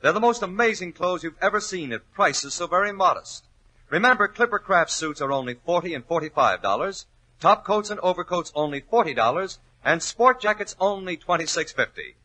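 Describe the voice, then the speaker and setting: A man's voice: sits high, animated, a little fast, sharp tone, rough recording. A man with an American accent announcing in scripted 1940s radio style, polished and emphatic. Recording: old 1940s radio recording.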